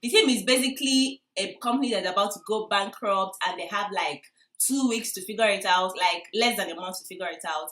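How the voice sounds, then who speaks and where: Young nigerian igbo lady doing a chatty movie review vlog, excitable and emphatic, roomy echo. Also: mid-range voice, brisk. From Enugu, southeast Nigeria. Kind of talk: casual vlog chat to viewers.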